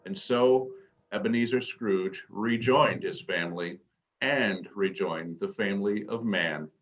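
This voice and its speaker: A man's voice: on the low side, a little slow, close to an everyday voice. A man playing a genial radio playhouse narrator, telling the story in scripted old-time drama style. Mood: storytelling. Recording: dull lo-fi sound under music.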